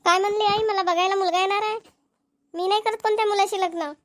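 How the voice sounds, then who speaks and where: A woman's voice: very high-pitched, fairly even intonation, fast. A woman voicing an earnest, stubborn young village woman in a Marathi cartoon, with a rural Maharashtrian accent. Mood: defiant. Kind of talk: amateur cartoon comedy-drama dubbing.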